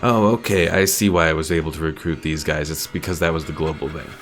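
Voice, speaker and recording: Deep, gravelly male game streamer. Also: steady tone, fast talker, fairly bright tone. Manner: thinking aloud as he plays. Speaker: gaming streamer. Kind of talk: casual game playthrough commentary. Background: faint game music.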